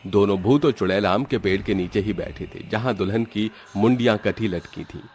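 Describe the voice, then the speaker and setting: A man's voice: lowish voice, moderate pace, a little mellow, a little hiss or hum. A man narrating a scripted horror cartoon story as a storyteller, with music behind him.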